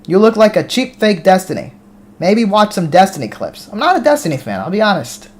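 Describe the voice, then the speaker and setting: A man's voice: sits high, very animated, brisk. An American man hosting a live stream, reading viewer comments aloud and talking back to them casually, often restarting his words. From United States. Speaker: livestream host reading chat.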